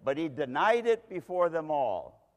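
Animated man preaching in an echoing hall. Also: a little high, measured pace. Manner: dramatic, emphatic storytelling preaching. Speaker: pastor. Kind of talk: sermon. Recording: long hall reverb.

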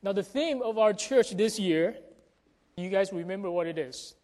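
A pastor giving announcements and a sermon to a congregation in a measured, explanatory way, with check-in questions to the listeners. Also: mid-range voice, mellow. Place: church sanctuary during a service. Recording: reverberant hall sound.

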